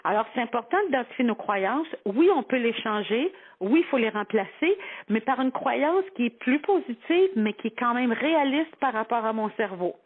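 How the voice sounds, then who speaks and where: A woman's voice: on the high side, expressive, normal speed, breathy edge. A woman with a Quebec French accent giving a conversational psychology segment on the radio, explaining things informally with everyday examples and imagined quotes. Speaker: psychology columnist on a radio show. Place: radio broadcast. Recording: tinny, phone-like band-limited radio audio.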